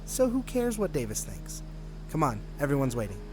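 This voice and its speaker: A man's voice: medium pitch, huge pitch swings, moderate pace, low-quality recording. A man playing a friendly, encouraging police detective in an audio drama, talkative and earnest. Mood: earnest, persuading. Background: music.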